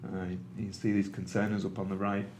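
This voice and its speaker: A man's voice: on the low side, monotone, brisk, a little mellow, a little hiss or hum. Mancunian man giving a conference talk. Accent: Manchester. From England. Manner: conversational, with frequent ums and uhs. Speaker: presenter. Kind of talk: conference presentation. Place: meeting room with an audience.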